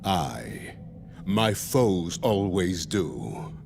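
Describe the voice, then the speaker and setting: A man playing a noble, bold warrior god in a video game, with grand, booming, archaic heroic diction. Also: very animated, extremely slow, vocal fry, noisy background, exaggerated voice. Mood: heroic, urgent. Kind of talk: video game cutscene acting. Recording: loud orchestral game music behind.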